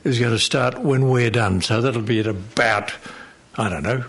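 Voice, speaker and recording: A man's voice: mid-range voice, normal speed. A man making informal live radio announcements in a rambling, offhand way. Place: radio studio with live audience.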